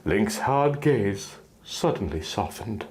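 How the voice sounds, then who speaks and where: A man's voice: fairly low pitch, lively intonation, slow pace, a little mellow. A man narrating a piece of fan fiction in a theatrical, exaggerated way. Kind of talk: dramatic reading of fan fiction.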